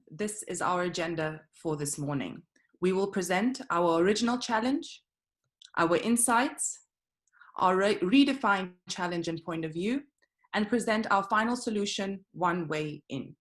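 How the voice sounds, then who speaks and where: A woman's voice: mid-range voice, normal speed. A woman giving a scripted student project presentation, walking steadily through slides with some fillers.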